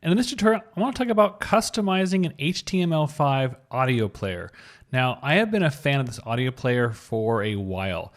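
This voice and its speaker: Man with lively, swooping coding-tutorial delivery. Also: mid-range voice, fairly quick. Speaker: web developer giving a tutorial.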